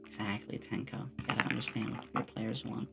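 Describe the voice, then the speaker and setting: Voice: mid-range voice, little pitch movement, brisk, vocal fry, very noisy. A streamer chatting casually with viewers in an ASMR stream, rambling along like a storyteller. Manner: rambling storyteller, acts out short quotes. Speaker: streamer, VTuber host.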